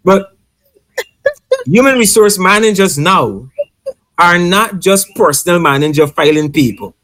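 A man's voice: sits high, expressive, unhurried, fairly bright tone. A man on a discussion panel explaining his views emphatically, repeating his points and checking in with listeners. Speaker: panel speaker, HR student.

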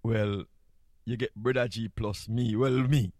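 A man's voice: fairly low pitch, steady tone, a little slow, darkish tone, no echo, studio quality. Jovial Jamaican man hosting morning radio. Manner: jovial, chuckling, repeats greetings. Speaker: radio host sitting in. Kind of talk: casual morning radio chat.

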